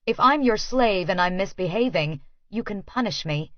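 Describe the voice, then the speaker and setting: A woman's voice: medium pitch, lively intonation, moderate pace, no room sound, muffled recording, natural voice. A woman voicing a bold, clever, cheeky female schemer in audiobook dialogue, speaking matter-of-factly.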